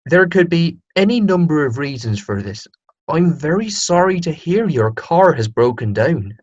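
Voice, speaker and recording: A woman's voice: low-pitched, husky, full character voice. A woman playing in an amateur radio comedy sketch, reading advice-column letters aloud earnestly and plainly. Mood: helpful, matter-of-fact. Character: reluctant sound technician pressed into reading agony-aunt letters. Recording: dull, band-limited recording.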